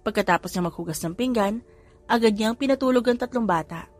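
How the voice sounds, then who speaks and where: Female storyteller, calm scripted narration, faint music bed. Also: fairly high pitch, quick pace. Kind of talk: scripted audio drama narration.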